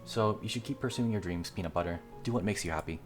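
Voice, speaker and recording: A man's voice: sits low, quick pace, over music, lightly acted. A man voicing an upbeat, confident young woman who is a student club president in a visual novel. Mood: earnest.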